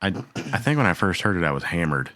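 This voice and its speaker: Man, podcast co-host, easygoing, joking, low bright voice, dry sound. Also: big pitch swings, quick pace, dry recording. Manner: easygoing, joking, laughs a lot. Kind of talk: casual chat.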